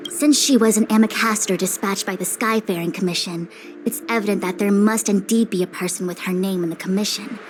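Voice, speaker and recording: A woman's voice: medium pitch, fairly quick, a little hiss or hum, natural voice. A woman playing a stern, commanding leader. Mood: formal. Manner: formal, authoritative, resolute. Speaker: game character. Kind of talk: game dialogue voice acting.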